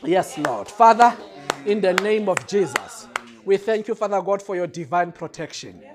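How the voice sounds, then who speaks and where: A man leading a passionate, repetitive prayer as a pastor, often raising his voice to a shout. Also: sits high, animated, moderate pace, noisy background. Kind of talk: fervent spoken prayer and worship.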